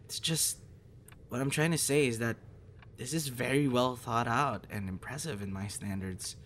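A man playing a gentle, encouraging young voice actor in a soft, hesitant, reassuring ASMR boyfriend roleplay. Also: mid-range voice, normal speed, lightly acted. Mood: earnest, reassuring. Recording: noticeable background noise.

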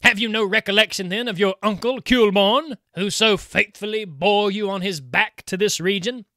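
A man's voice: high voice, normal speed, bright, forceful tone, no room sound, clean studio sound. A man voicing a sly, mocking male water spirit who speaks with grand self-importance.

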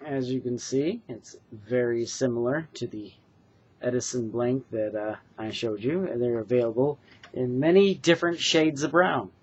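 Casting: man, 40s, husky mid-pitched voice, earnest craftsman explaining his wares haltingly to a webcam, muffled lo-fi sound. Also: a little mellow. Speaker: craftsman presenting his handmade products. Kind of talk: informal product demonstration to camera. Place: small bedroom, indoors. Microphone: webcam or laptop mic at desk distance.

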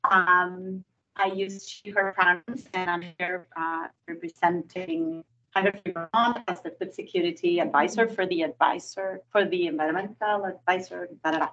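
A woman's voice: on the low side, fairly even intonation, normal speed. A woman from Argentina, introducing herself chattily at a meeting as a food security advisor. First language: Spanish. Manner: warm, chatty, laughing asides. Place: conference room. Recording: distant room mic, dull sound.

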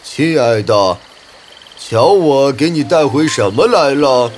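A man voicing an earnest, soft-hearted crocodile in a children's animated fairy tale, with a pleading, emphatic delivery. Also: medium pitch, takes it slowly, music in the background. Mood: cheerful. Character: earnest, soft-hearted male crocodile, troubled loyal friend.

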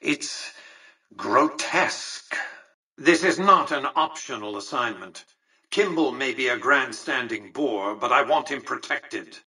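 An actor playing a haughty, calculating ancient tycoon in a video game, speaking in a pompous, precise, condescending way. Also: male voice, mid-range voice, pushed and bright, husky, recorded from a distance, poor audio. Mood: disdainful. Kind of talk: scripted video game dialogue.

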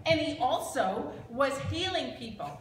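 High-voiced woman telling children's stories. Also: expressive, unhurried. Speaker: children's church storyteller. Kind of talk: animated storytelling to children. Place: large church sanctuary. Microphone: handheld microphone. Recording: echoey church, noisy room.

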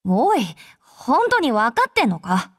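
High, brash tsundere android girl. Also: wildly swooping pitch, measured pace, dry recording, clean studio sound, heavily acted. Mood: irritated. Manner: rough, tomboyish, blunt speech. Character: brash, tsundere young female android soldier. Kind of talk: Japanese anime game character voice lines.

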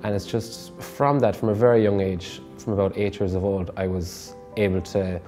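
Young guy, deep dark flat voice, steady, music under. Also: normal speed. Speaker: music educator.

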